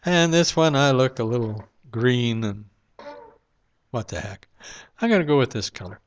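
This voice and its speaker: A man speaks straight to his camera at home at night, rambling and reflective, with frequent "uh"s between thoughts. His voice sits at an ordinary middle pitch. The recording is dull and lo-fi. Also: expressive, moderate pace. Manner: rambling, reflective, with many fillers. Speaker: vlogger. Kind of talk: casual monologue to camera. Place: home room at night, lamp-lit.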